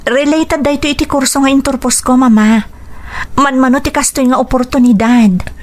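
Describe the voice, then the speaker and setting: A woman's voice: sits high, fairly even intonation, normal speed, darkish tone, lightly acted. A young woman with an Ilocano accent playing an earnest, dutiful daughter in a radio drama, speaking respectfully and pleadingly. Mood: nervous pleading. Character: earnest dutiful daughter hoping to work abroad.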